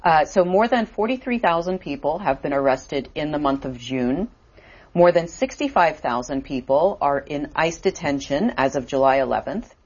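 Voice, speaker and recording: Deep-voiced woman interviewer. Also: expressive, darkish tone, breathy edge. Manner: halting questions with many fillers. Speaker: interview host. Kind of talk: interview questions. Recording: dull lo-fi audio.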